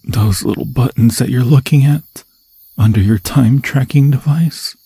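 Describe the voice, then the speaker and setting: A man voicing an obsessed undead forest demon in an ASMR fantasy roleplay, seductive and menacing, with a husky, breathy voice that often drops to a whisper and slow, theatrical, intimate phrasing. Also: medium pitch, warm tone, no room sound. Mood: mildly insulted. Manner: slow, theatrical, intimate, crooning endearments in archaic formal phrasing.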